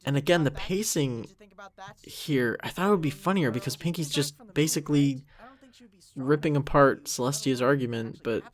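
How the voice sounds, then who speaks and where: A man's voice: mid-range voice, moderate pace. A young man giving a casual commentary track in a rambling, self-critical way, often trailing off mid-thought. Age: young adult. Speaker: creator of an animated parody series.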